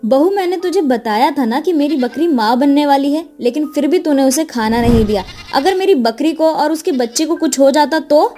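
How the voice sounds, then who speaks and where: Lady doing old village saas for a cartoon, naggy n bossy, high voice, faint music behind. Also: normal speed, hardly any pauses, mellow, close to an everyday voice. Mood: angry. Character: elderly village mother-in-law, hardworking, fussy, doting on goat. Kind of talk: Hindi animated moral story dialogue.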